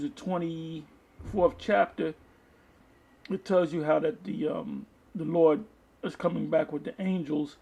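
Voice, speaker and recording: Guy doing a homemade sermon, reads slow n halting, kinda gravelly mellow voice. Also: on the high side, low-quality recording. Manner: halting, repeats and restarts phrases. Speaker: preacher reading articles and scripture to an online audience. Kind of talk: informal video sermon, reading aloud with commentary.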